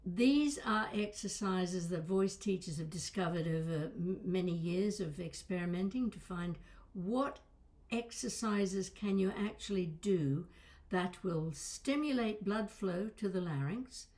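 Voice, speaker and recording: A woman's voice: fairly low pitch, unhurried, darkish tone. An older woman voice coach giving an informal lesson, conversational and digressive with wry asides, with a slight crackle and small breaks in her voice. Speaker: voice coach and presenter. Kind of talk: informal instructional talk to camera.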